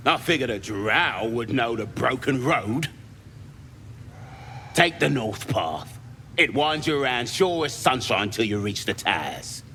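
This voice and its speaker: An actor playing a rough, cocky, crude goblin raider in a video game, his voice rasping. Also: medium pitch, lively intonation, measured pace, pushed and bright, slight background noise. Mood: gloating. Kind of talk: video game character acting.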